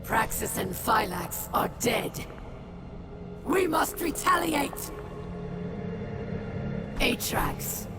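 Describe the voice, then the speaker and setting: A woman's voice: mid-range voice, creaky. A woman playing a vengeful, commanding female alien warlord in a video game cutscene, with an imperious, dramatic delivery. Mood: cold anger. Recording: scary music behind the voice.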